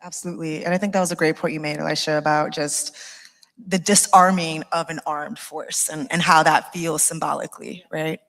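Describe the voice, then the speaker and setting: A woman moderating a live panel, posing questions in a measured way. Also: lowish voice, fairly bright tone.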